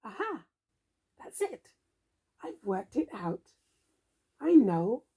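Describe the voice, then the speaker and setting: Female storybook reader for young children: theatrical, expressive, unhurried, with a breathy, husky voice. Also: on the high side. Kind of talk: expressive children's read-aloud.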